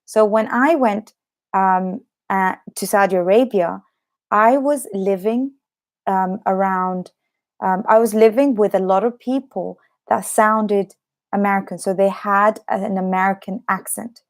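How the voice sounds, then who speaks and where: A young British woman in her twenties or thirties teaching a live English lesson. She has a slight cough and explains slowly and chattily, repeating her points and filling pauses with "um". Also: medium pitch, steady tone. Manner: chatty, explains slowly with repetition and many fillers.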